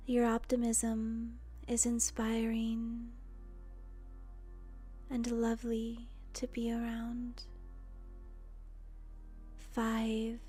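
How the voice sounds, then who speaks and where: A woman guides a meditation very slowly, in a hushed, breathy voice with a very even, nearly unvarying pitch. Her delivery is soothing and deliberate, often sinking toward a whisper, with faint music behind her. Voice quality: soft, breathy, often trailing into near-whisper. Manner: soothing, gentle, deliberate with pauses. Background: soft music.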